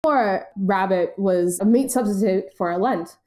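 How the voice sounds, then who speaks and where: American woman, podcast co-host, mellow tone, informal conversational delivery. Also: medium pitch, measured pace. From United States. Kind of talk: casual podcast chat.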